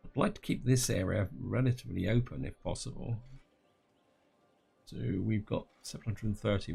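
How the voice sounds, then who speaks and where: A man with a slightly croaky throat giving casual gameplay commentary, thinking aloud and talking to his viewers. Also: on the low side, a little mellow. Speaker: gaming channel host.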